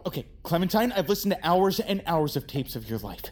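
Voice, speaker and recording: A woman's voice: lowish voice, sing-song, brisk, a little mellow, slight background noise. A woman playing an earnest, anxious rescuer in an audio drama, pleading and urging on an ally. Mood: earnest, rallying.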